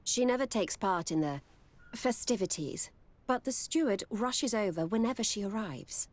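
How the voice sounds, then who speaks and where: An actress voices a wary courtesan in a video game. She speaks at a middle pitch, worldly and guarded, like someone careful about every client. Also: lively intonation, moderate pace, lightly acted.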